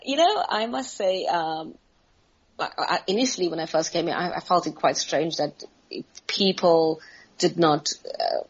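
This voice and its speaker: A South African woman in her forties, speaking as a podcast guest, thoughtful and measured, with hesitant fillers. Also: fairly low pitch, breathy edge, lo-fi sound. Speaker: guest, friendship expert. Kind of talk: podcast interview.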